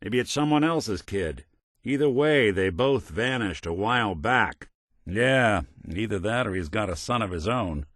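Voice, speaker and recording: Casting: weary American robot private eye for a game, lowish voice, lively swings in intonation, wry and principled. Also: male voice, a little slow. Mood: matter-of-fact. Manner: wry, streetwise, old-time gumshoe delivery. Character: world-weary, wisecracking robot private detective, hardboiled and principled. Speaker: video game voice actor. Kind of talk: scripted game dialogue in a hardboiled noir detective manner.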